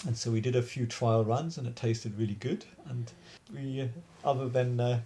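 A man chatting in an interview about organizing a dance event, halting with many restarts and laughs. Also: mid-range voice, fairly even intonation, mellow, slight background noise. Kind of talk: casual interview chat.

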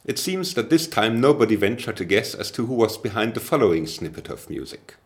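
A man's voice: on the low side, sing-song, brisk, very noisy. A man hosting a podcast, interviewing a guest and reading wry scripted announcements. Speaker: podcast host.

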